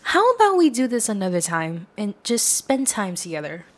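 A woman's voice: mid-range voice, sing-song, moderate pace. A woman performing a girlfriend roleplay for listeners, coaxing and affectionate. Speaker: ASMR roleplay creator.

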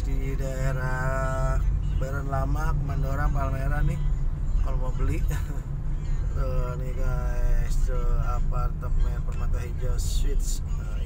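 A man's voice: medium pitch, low-quality recording. A man chatting casually to viewers while driving, filming from the dashboard, talking to a child in the back seat. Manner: chatty, addresses viewers as guys, coaxes child. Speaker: vlogger driving a car. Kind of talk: casual driving vlog chat. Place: inside a car on city streets.

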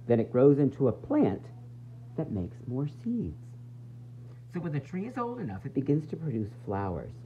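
Male children's read-aloud teacher, highly animated swoops, dramatic pauses, faint noise behind a homemade recording. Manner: expressive, with dramatic pauses and emphasis; addresses young listeners with questions and asides. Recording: dull, faintly noisy home recording.

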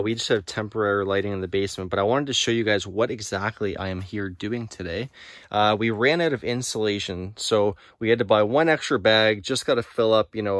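A man's voice: fairly low pitch, no echo, clean studio sound. A young man giving a casual walkthrough of a basement renovation he is working on, explaining as he goes and calling his viewers "guys" again and again. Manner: casual, explanatory, says "guys" and "right?" often. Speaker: real estate investor vlogger. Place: basement under renovation, indoors. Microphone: phone camera held at arm's length.

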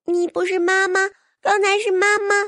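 A woman's voice: very high-pitched, flat delivery, normal speed, a bit bright, dry recording, voice pushed up. A woman voicing a tiny, chubby, innocent baby creature with naive, eager, childlike speech in an audiobook. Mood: innocent, insistent. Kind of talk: audiobook character voice.

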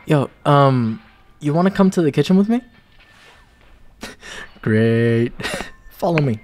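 Man acting a halting, swooping, shy college guy. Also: on the high side, animated, a little mellow. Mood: casual, slightly nervous. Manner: halting, flustered stammering with nervous laughs. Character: shy, smitten young college man asking out a crush. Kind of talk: roleplay audio acting.

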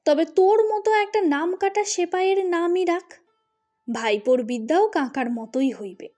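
A woman's voice: very high-pitched, moderate pace, dark tone, faint music behind, pitched above the performer's own voice. A woman voicing an exasperated, sarcastic elder brother, a lawyer, in an audio storybook with a mocking manner. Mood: mocking exasperation.

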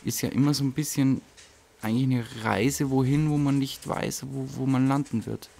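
A man, a painter, reflecting on his own work in a halting, searching interview manner. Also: mid-range voice, fairly even intonation, vocal fry, warm tone.

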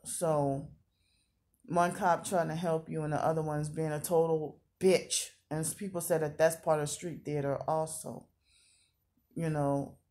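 A woman's voice: low voice, little pitch movement, measured pace, dark tone. A woman in her thirties talking casually in a confessional vlog, rambling and repeating herself. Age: 30s. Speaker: vlogger.

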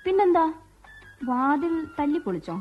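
An actress playing a quick-tempered young wife who snaps, sulks and whimpers. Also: female voice, sits high, sing-song, dull audio, music in the background. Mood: annoyed. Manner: snappy, sulking, whimpering. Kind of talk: radio play acting.

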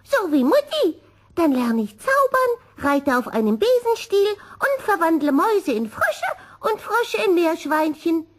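A woman voicing an eager, boastful little girl witch kitten in a children's storybook, excited and showy. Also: very high-pitched, unhurried, pitched above the performer's own voice, heavily acted.

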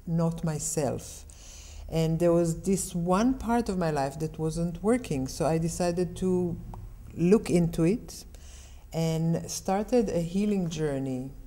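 Slow, low-voiced, calm woman. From Israel. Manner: calm, measured, explanatory. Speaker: interview guest. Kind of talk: unhurried interview talk.

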